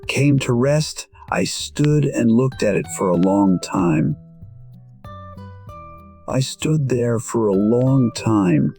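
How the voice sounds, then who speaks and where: A man playing a gentle musician in his sixties, quietly and wistfully telling his own story as audio drama narration. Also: mid-range voice.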